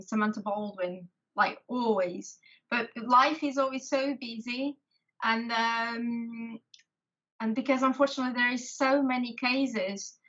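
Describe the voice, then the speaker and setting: A Portuguese woman with an accent, hosting a video call interview in English, talking haltingly with many restarts. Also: a little high, sharp tone. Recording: lo-fi call audio.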